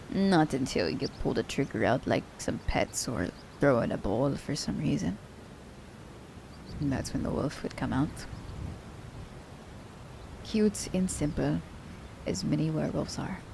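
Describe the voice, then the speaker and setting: A woman playing a teasing, worldly female assassin in an ASMR roleplay, with a gravelly, mellow voice and a playful, unhurried delivery. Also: sits low, lively intonation, a little hiss or hum, exaggerated voice, low-quality recording. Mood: relaxed, teasing. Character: teasing, worldly female assassin fond of her werewolf captive.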